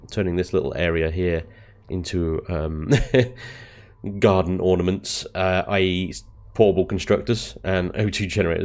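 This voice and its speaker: A man with a rough, hoarse voice from the flu, chatting casually as he shows off his base in a video game. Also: sits low, darkish tone. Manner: chatty, self-deprecating, frequent ums and chuckles. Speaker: gaming video host. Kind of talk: casual gameplay commentary.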